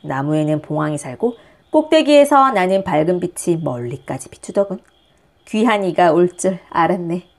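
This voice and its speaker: A wise old monk, played by a woman storyteller, speaks in measured, archaic phrases. The storybook master sounds dignified and welcoming, dropping lower at times. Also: expressive. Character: wise, welcoming elder male Buddhist master.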